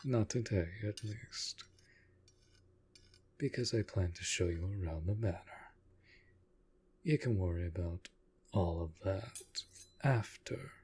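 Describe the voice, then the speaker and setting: Man voicing a low, unhurried courtly vampire master. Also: a little mellow, slightly rough, heavily acted. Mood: gentle, reassuring. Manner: measured, formal, deliberate phrasing with pauses. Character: courtly, protective male vampire master of a manor. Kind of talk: roleplay audio drama, addressing the listener.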